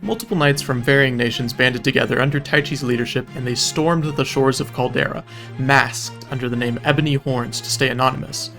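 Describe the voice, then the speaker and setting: Wry male narrator, slightly creaky, over music. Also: medium pitch, fairly even intonation, fairly quick, a bit bright. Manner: wry, informal, mock-epic storytelling. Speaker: video narrator. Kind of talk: scripted comedic recap narration.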